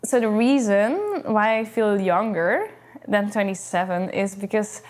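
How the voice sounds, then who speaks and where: A woman in her late twenties from Belgium speaks at a middle pitch. Her talk is upbeat and casual, full of "like". She is sharing her own view in a documentary interview. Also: fairly even intonation, a little slow. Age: late 20s.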